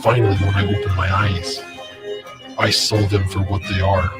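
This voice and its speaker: An actor playing a grim, haunted hunter of false gods in slow, brooding, solemn trailer narration. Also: male voice, sits low, little pitch movement, natural voice. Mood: brooding, ominous. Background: dramatic string music.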